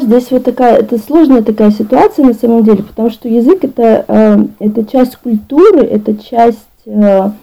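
A woman guest on a podcast, explaining thoughtfully with pauses and restarts. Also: medium pitch, gravelly, warm tone, rough recording. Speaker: guest expert on bilingualism. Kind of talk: casual podcast conversation.

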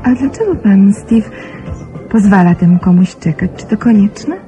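A woman's voice: mid-range voice, lively intonation, moderate pace, warm tone, faint music behind, natural voice, low-quality recording. An actress playing an anxious, sentimental young woman in a radio play. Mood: wistful, curious. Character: anxious, sentimental young Polish woman working in a wealthy household.

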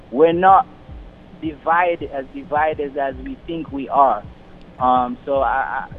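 A young man who grew up in London and the Caribbean, a radio guest talking over the phone with many fillers and restarts. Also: mid-range voice. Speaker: radio guest, community organization founder. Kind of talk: casual interview over the phone. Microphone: phone line. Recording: tinny phone line with faint noise.

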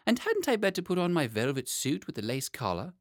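Innocent, well-mannered small boy for storybook, played by an adult man; high, lively, restless delivery. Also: brisk, dry recording, clean studio sound. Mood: polite, eager. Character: polite, fidgety, innocent little boy made king.

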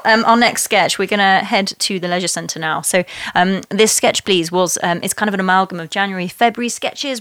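A woman's voice: mid-range voice, fairly bright tone, dry recording. A woman hosting a podcast in casual chat, informal and encouraging. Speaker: podcast host.

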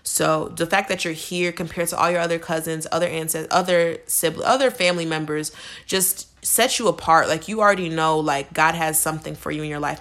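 A woman's voice: fairly low pitch, a bit bright. A twenty-year-old woman, a college student, talking candidly and earnestly in a casual way, with many "like" fillers. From United States. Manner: earnest, casual, heavy use of "like". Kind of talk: candid personal talk.

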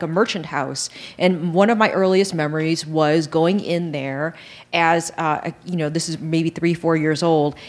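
A middle-aged woman co-hosting a casual chat, reminiscing and talking firmly over her partner. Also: fairly low pitch. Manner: reminiscing, assertive, talks over others. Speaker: co-host.

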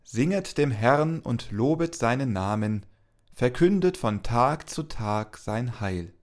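A man's voice: mid-range voice, lively intonation, slow pace, a little mellow. A man reading psalm verses and prayers as co-leader of a church service.

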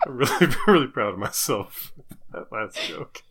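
A man's voice: medium pitch, big pitch swings, normal speed, noisy background. A man, a podcast co-host, trading casual comedic banter in a teasing, wisecracking way.

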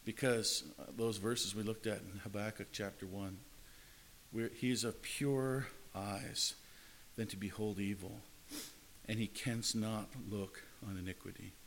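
Earnest preacher with a lowish voice. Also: male voice, steady tone, a little slow, a little hiss or hum, poor audio. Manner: earnest, repetitive phrasing with frequent restarts. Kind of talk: sermon.

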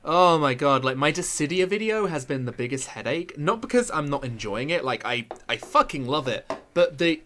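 A man YouTuber chatting casually, candid and excitable, swearing freely. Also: a little high, moderate pace, few pauses. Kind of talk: casual chat.

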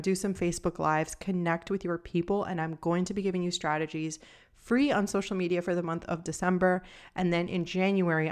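Woman podcast host, young adult, calm and even, lowish voice, solo casual talk. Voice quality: calm, somewhat monotone, deeper voice. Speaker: podcast host and nutrition coach.

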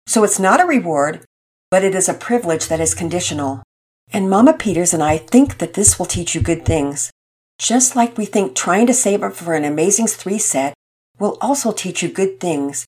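A woman's voice: lowish voice, animated, normal speed, darkish tone, breathy edge. Woman reading as a patient storybook father. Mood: earnest. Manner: patient, earnest, instructive. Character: gentle loving father teaching his son.

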